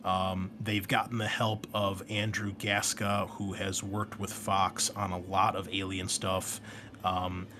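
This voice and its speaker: Low-voiced man chatting over background noise. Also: monotone, slow, pushed and bright. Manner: enthusiastic, rambling. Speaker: host and game master. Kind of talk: casual introduction talk to listeners.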